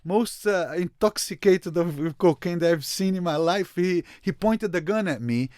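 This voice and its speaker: A man from Brazil, a photographer and interview guest, telling a story in an animated way, often repeating words and restarting his sentences. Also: sits high, moderate pace, no echo, clean studio sound.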